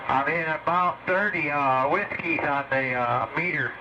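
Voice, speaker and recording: A man comes through on a muffled CB radio with clear noise on the signal. He talks slowly in a halting, folksy ramble, sharing casual tips as a hobbyist. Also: on the high side. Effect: heard over CB radio. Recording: dull, noisy CB radio transmission.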